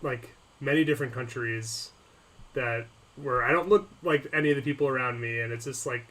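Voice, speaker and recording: A man hosting a casual podcast chat, speaking haltingly with many pauses and ums. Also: medium pitch, fairly bright tone, low-quality recording. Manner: hesitant, halting, lots of ums. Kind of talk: casual chat over a call.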